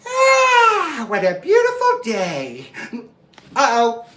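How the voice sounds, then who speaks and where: A man's voice: very high-pitched, huge pitch swings, slow pace, noisy background, pitched above the performer's own voice, heavily acted. A man voicing a small, timid male frog in a puppet show, fretful and stammering. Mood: panicky.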